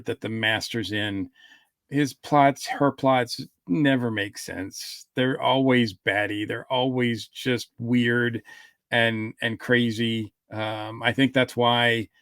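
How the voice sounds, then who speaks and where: A man's voice: mid-range voice, a bit bright, dry recording, clean studio sound. A man hosting a podcast, giving his review in casual, thoughtful talk that comes in short, hesitant bursts. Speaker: podcast host.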